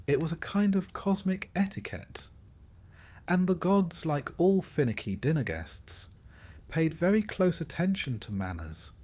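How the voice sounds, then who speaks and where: A man calmly narrating a scripted bedtime story, phrasing it deliberately in short, measured pieces. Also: on the low side, breathy edge.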